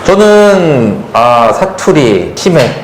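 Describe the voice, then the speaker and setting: A man moderating a panel talk concert, relaxed and joking, filling pauses with hums and affirmations. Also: fairly high pitch. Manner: relaxed, jokey, lots of fillers and affirming hums. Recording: echoey hall with faint noise.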